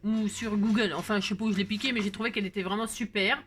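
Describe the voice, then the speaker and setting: A woman's voice: medium pitch, steady tone, fast, fairly bright tone, a little hiss or hum. A woman hosting a craft video, chatting casually in a rambling, jokey way full of digressions. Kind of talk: casual chat.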